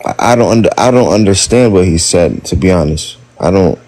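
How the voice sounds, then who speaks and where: A man's voice: low voice, a little fast, darkish tone. A man in an online debate who stammers and repeats words as he answers. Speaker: debate participant.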